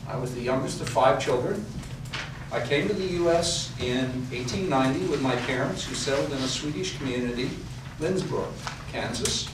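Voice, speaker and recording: A man's voice: medium pitch, moderate pace, noisy background, lightly acted. A man playing a genial professor, echoey room. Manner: courteous, formal storytelling. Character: genial older male art professor hosting guests. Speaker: actor in a historical reenactment. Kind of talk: staged period reenactment monologue. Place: large echoey room in a house. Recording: distant mic, echoey room, audible ambience.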